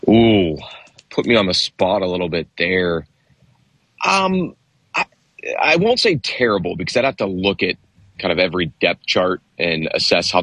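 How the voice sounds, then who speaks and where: A man's voice: deep voice, a bit bright, husky, dry recording. A man co-hosting a sports radio show, talking casually and hesitantly with many fillers and restarts.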